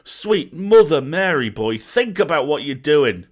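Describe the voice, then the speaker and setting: A man's voice: fairly high pitch, big pitch swings, measured pace, pitched above the performer's own voice. A man voicing a blunt, fierce, weary old grandmother in a storybook, scolding and curt. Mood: furious scolding.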